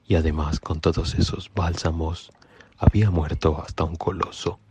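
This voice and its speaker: A man's voice: rumbling low, steady tone, normal speed. An actor playing a blunt, exasperated male villager in a devotional radio drama, in a noisy recording. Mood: indignant.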